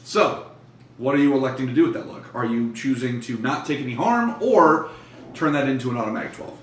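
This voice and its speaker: A man runs an improvised tabletop game in a loose, profane, conversational way. His mid-pitched voice sits a little back from the mic in an echoey room with faint noise. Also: expressive, fairly quick, distant mic. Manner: profane, colloquial. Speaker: game master of a tabletop horror game. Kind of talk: improvised narration and casual table talk.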